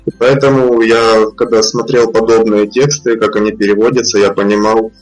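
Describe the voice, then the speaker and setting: A man, a freelance translator, answering podcast interview questions in a measured, explanatory way with fillers and restarts. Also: on the low side, flat delivery, faint music behind, poor audio.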